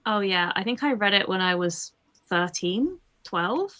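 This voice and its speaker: A woman's voice: mid-range voice, lively intonation, unhurried, pushed and bright. A woman voice actor chatting in a casual Q&A over a video call, laughing often and poking fun at herself. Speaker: voice actor, guest. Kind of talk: casual livestream Q&A chat.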